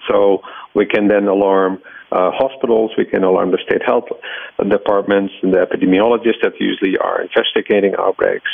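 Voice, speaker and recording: Low, creaky middle-aged Dutch man. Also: level tone, brisk, no room sound, phone-quality sound. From Netherlands. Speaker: research scientist and laboratory head, interviewee. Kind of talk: explanatory interview answers.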